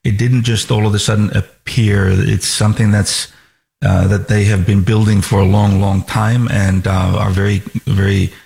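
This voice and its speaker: A man from Finland, a financial advisor speaking as a guest on a radio talk program, measured and full of restarts and fillers. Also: lowish voice, level tone, vocal fry, darkish tone.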